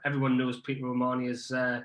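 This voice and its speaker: A man's voice: medium pitch, completely flat delivery, quick pace, fairly bright tone. A young man chatting about rugby as a podcast guest, hesitant, with many ums and restarts. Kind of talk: casual podcast chat. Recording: echoey room.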